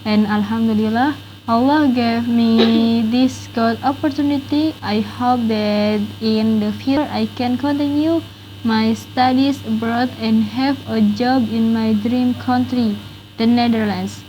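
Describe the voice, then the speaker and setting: A nineteen-year-old Indonesian woman, a university student with an Indonesian accent, reading her life story in English slowly and earnestly. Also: a little high, fairly even intonation, mellow, lo-fi sound, slight background noise.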